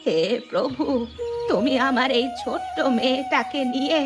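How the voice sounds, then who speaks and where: A woman's voice: sits high, unhurried, music in the background. A woman playing a desperate flood-struck mother, lamenting and pleading in a melodrama. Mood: anguished. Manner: wailing, pleading. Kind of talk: melodramatic village drama.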